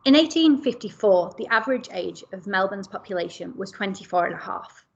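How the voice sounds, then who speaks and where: A woman academic presenting a seminar paper in a measured way, often pausing with fillers and correcting herself mid-word. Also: medium pitch, expressive, gravelly, breathy edge, dull audio. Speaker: academic speaker.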